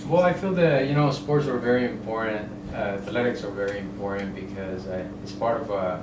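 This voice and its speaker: Halting middle-aged man, roomy distant sound. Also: on the low side. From El Salvador. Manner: halting, many fillers and restarts, explains with homely analogies. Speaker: guest, real estate broker. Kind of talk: casual interview chat. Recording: roomy, distant mic, ambient noise.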